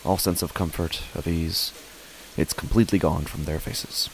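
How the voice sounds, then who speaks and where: A man plays a father narrating a first-person horror tale. His voice is very low and he speaks in deliberate, short phrases. A faint hiss lies behind the words. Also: steady tone. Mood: sombre, tense. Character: father narrating his family's uneasy stormy night.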